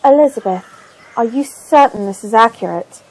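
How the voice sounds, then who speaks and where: A woman's voice: on the high side, lively intonation, normal speed, darkish tone, poor audio. An actress playing an anxious, bewildered woman who questions urgently in a fantasy audio drama. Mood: worried, confused.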